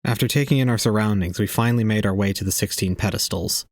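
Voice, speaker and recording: A man's voice: lowish voice, quick pace, darkish tone, no room sound. A man playing an aloof young man, narrating an audio drama in a formal, detached, cold way. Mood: brooding, detached.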